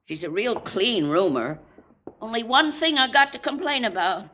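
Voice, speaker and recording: A woman's voice: on the high side, lively intonation, moderate pace, pushed and bright. A woman playing a plain-spoken, nosy American rooming-house landlady, gossipy and offhand, in 1940s radio style.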